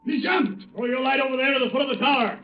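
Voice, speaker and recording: An actor playing a commanding police official in an old radio drama, giving brisk orders. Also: male voice, very high voice, soft background music. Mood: grave, commanding. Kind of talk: 1930s-40s radio drama acting. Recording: muffled, echoey old radio recording.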